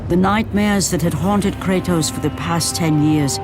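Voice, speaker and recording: A woman's voice: fairly low pitch, measured pace, natural voice. A woman narrating a video game story in a grave, portentous, dramatic style. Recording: music under the voice.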